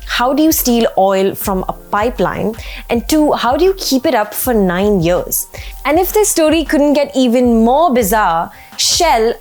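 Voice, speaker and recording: Female news presenter, mid-pitched voice, measured explainer delivery, music underneath. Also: a little mellow. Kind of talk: scripted news explainer narration.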